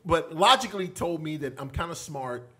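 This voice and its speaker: A middle-aged man casually telling animated stories as a podcast guest, in a small studio on a boom mic. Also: normal speed, breathy edge. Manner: animated, gestures a lot while telling prison stories. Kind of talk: casual storytelling. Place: small studio with foam panels. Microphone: boom mic on table.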